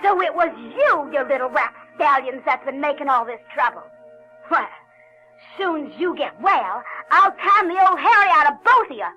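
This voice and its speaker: A woman's voice: sits high, animated, moderate pace, sharp tone, low-quality recording. A woman playing a folksy, scolding but caring Southern aunt in an audio drama. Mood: shocked, scolding. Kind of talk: audio theater acting. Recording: vintage 1970s audio drama with music.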